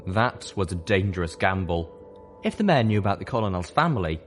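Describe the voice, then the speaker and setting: A man narrating a fan fiction audiobook with an expressive, animated delivery. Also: low-pitched, slight background noise. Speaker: audiobook reader.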